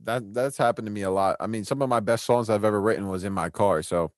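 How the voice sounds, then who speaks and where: Low-ish guy co-host, excited, lots of um and like, dead dry sound. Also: quick pace, dry recording. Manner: enthusiastic, filler-heavy. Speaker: podcast co-host, songwriter and producer. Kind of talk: casual chat.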